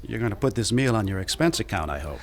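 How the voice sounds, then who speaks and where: A man's voice: on the low side, lively intonation, quick pace. An American man playing a joking, suspicious businessman friend in a radio drama, talking in a wry, folksy way. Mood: wry, teasing. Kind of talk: old-time radio drama acting.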